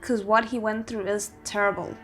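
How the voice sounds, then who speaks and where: A woman's voice: medium pitch, level tone, moderate pace, a bit bright, soft background music. A woman hosting a reaction video, giving casual commentary and thinking aloud.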